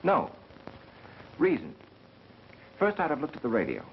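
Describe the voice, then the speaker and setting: A smart, self-assured investigator in an old mystery picture. His delivery is wry and very animated in pitch. The soundtrack is dull and faintly hissy. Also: vocal fry, heavily acted. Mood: dry, assured. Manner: wry, brisk deductive retorts. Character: clever, dry, self-assured amateur sleuth. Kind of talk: 1930s mystery film acting. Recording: muffled, hissy 1930s film soundtrack.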